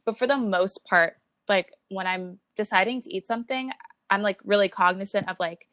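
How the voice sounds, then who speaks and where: A woman's voice: medium pitch, normal speed, a bit bright, no echo. Breezy chatty young woman. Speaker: podcast co-host. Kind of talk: casual chat.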